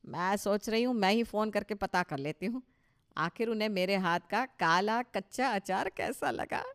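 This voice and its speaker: Woman acting older mother-in-law, touchy, proud, emphatic, medium pitch, cartoon dialogue. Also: normal speed, clear, resonant tone, close to an everyday voice. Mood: expectant. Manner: self-satisfied, emphatic. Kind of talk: Hindi cartoon story dialogue.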